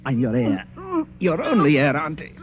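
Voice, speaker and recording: A man's voice: a little high, sing-song, normal speed. A sneering, greedy young nephew in an old radio drama, his voice swooping wildly as he taunts. The recording is dull and lo-fi, with a faint hiss behind it. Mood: menacing. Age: young adult. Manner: mocking, taunting, laughs. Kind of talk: 1940s radio acting.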